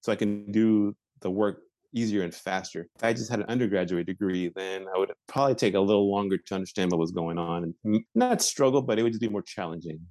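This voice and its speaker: A man in his forties, a patent examiner, answering interview questions in a measured way with frequent fillers and restarts. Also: fairly low pitch, darkish tone, no room sound.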